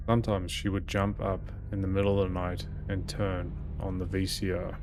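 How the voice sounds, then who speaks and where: A man's voice: low voice, flat delivery, moderate pace. A man reading visual novel narration aloud as a let's play host, occasionally stumbling and repeating phrases. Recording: game music behind the voice. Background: horror game music.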